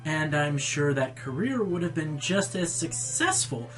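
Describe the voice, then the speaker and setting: Actor doing a sarcastic exasperated pony radio host, swingy lively pitch, comedy radio play, bit of music under it. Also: a little slow. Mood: dry, teasing.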